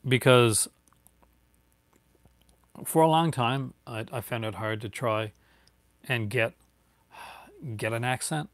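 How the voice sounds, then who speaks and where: A man's voice: medium pitch, takes it slowly, long pauses, no room sound. A man in his forties with a light Newfoundland accent, chatting casually and rambling with many fillers and restarts on a live stream from home. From Newfoundland, Canada. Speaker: YouTuber answering viewer chat. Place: home room with bookshelves, indoors.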